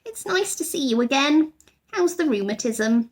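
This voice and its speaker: A woman's voice: high voice, animated, moderate pace, voice pushed up. A woman voicing a kind, chatty young girl in a children's storybook, eager, welcoming and helpful. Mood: bright, eager.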